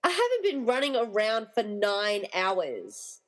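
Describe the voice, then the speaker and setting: A woman playing an excitable, wry, slightly exasperated rescuer in a comedy radio play. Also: mid-range voice, unhurried. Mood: puzzled, wry.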